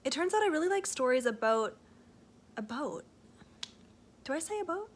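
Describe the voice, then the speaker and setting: A woman's voice: high-pitched, sing-song, normal speed. A young Canadian woman with a Canadian accent, chatting casually at home in a rambling vlog style, full of fillers and self-corrections. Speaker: book vlogger. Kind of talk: casual reading vlog chat. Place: home, seated in a rocking chair.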